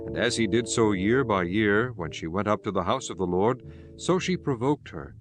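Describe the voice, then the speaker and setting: A man narrating scripture from a script in a solemn, measured storytelling manner. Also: fairly low pitch, lively intonation. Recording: music bed behind the voice.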